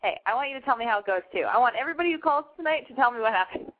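Fast-talking frank radio advice host, woman. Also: fairly high pitch, animated. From Los Angeles. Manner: frank, gushing, talks fast. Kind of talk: casual call-in advice. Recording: tinny phone-line sound.

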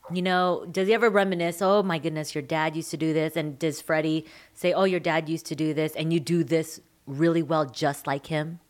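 A woman hosting a boxing podcast, chatty and excitable, asking long rambling questions and laughing often. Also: sits low, moderate pace, hardly any pauses. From Philippines heritage, Filipino. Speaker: podcast host. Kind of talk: podcast interview.

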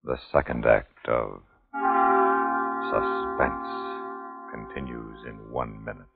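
A man announcing a 1950s suspense radio show in a solemn, ominous, deliberate manner. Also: extremely low pitch, steady tone, creaky. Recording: tinny 1950s radio recording, loud music behind.

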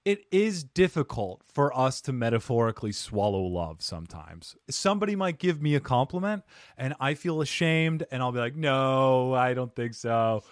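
A man's voice: mid-range voice, big pitch swings, normal speed, no echo, studio quality. A man hosting a podcast interview, chatting in a playful, self-deprecating way. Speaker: podcast host. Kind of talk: casual interview chat.

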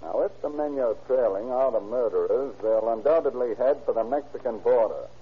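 Guy acting as businesslike old radio sheriff, measured, medium pitch, thin scratchy sound. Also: husky, phone-quality sound, natural voice. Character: calm, authoritative male county sheriff. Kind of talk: old-time radio crime drama acting.

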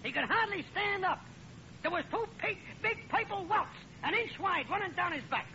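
Stern American lodge brother, very high animated voice, sarcastic, accusing, old radio comedy. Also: male voice, normal speed, heavily acted. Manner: accusing, sarcastic, rough grammar. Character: stern, sarcastic male lodge chairman, working-class American. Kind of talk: 1940s radio comedy acting. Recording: muffled old radio broadcast with hiss.

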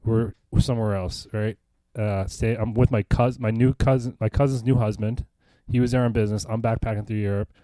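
A man's voice: fairly low pitch, fairly even intonation, a little fast, dark tone, dry recording. Casual podcast co-host, American man in his thirties, loose rambling storyteller, swears freely, dry recording. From United States. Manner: rambling, profane, laughs often. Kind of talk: casual chat.

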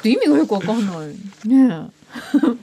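A woman's voice: fairly high pitch, very animated, a little slow, warm tone, slight background noise. A woman co-hosting a radio show, reacting and chatting casually with her partner.

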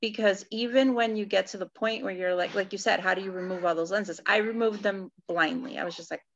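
A woman's voice: mid-range voice, fairly even intonation, a little fast, fairly bright tone, lo-fi sound, slight background noise. A woman in her forties from California, co-hosting a casual podcast and thinking aloud, often checking in with "right?". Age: 40s. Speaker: podcast co-host. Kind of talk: casual personal chat.

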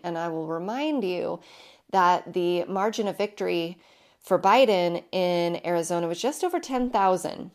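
A woman in her thirties hosting her own podcast, talking casually with emphatic repetition, her voice hoarse and deepened from illness. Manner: chatty, emphatic, repeats herself. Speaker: podcast host. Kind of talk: casual solo podcast monologue.

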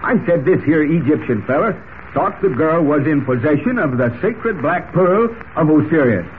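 A man's voice: a little high, expressive, normal speed, natural voice. A man playing a folksy, talkative cowboy in an old radio serial, with a drawling American Western accent. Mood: folksy storytelling. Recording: muffled old radio recording with hiss.

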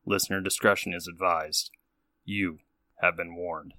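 A man reading a scripted content warning in a slow, ominous tone, a little far from the mic. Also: low voice, fairly even intonation, sharp tone, dry recording. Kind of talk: scripted disclaimer.

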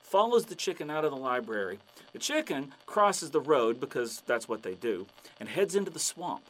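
A man telling long, shaggy-dog jokes with a deadpan delivery in a radio drama. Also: medium pitch, moderate pace, slight background noise, rough recording. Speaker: joke teller.

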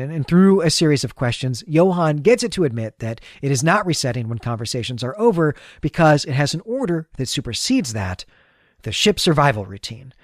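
A man's voice: on the high side, no room sound, studio quality. A man hosting a podcast, giving a conversational recap of a story. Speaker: podcast host.

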